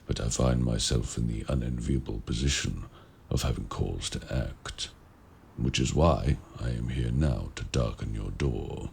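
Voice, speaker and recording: A man playing a mysterious, courteous warlock of the woods in an audio roleplay, speaking in formal, archaic, measured phrases with a gravelly voice. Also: rumbling low, darkish tone, slight background noise, heavily acted. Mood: grave, wry. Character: mysterious, courteous forest-dwelling male warlock. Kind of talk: audio roleplay acting, addressing the listener.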